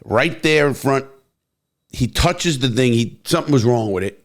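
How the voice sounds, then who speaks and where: A man's voice: mid-range voice, expressive, normal speed. A man from New York with a New York accent, hosting a casual podcast chat, who cuts in, ribs his guest and laughs. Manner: interrupting, ribbing and laughing. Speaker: podcast host.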